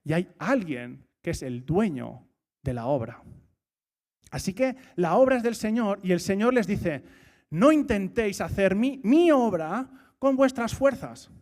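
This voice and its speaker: A man's voice: high voice, darkish tone. A man preaching a sermon in church. He asks rhetorical questions, repeats his key points and jokes now and then.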